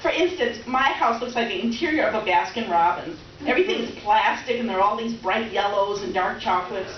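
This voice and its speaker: A woman's voice: medium pitch, brisk, lightly acted. Woman playing effusive middle-aged former sweetheart, stage comedy, self-mocking chatter, roomy sound, distant mic. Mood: chatty, gushing. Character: gushing, over-excited middle-aged former high-school sweetheart woman. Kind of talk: readers theater stage comedy. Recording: roomy, distant, dull, faintly noisy stage recording.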